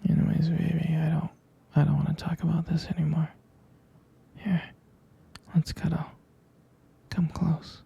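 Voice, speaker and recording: Clingy, devoted young boyfriend male actor for ASMR roleplay: slow, very soft and mellow, breathy, hesitant, intimate. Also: on the high side, completely flat delivery, dull audio, full character voice. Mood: tender, nostalgic. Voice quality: breathy, husky half-whisper. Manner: hesitant, halting, tender.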